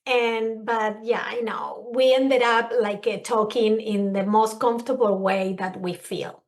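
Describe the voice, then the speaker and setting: A woman's voice: fairly high pitch, unhurried. A middle-aged woman with a Spanish accent, speaking English as a second language, earnestly talking as a counselor and guest on a podcast. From Spanish-speaking country. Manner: earnest, expressive, searching for words. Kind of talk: conversational interview.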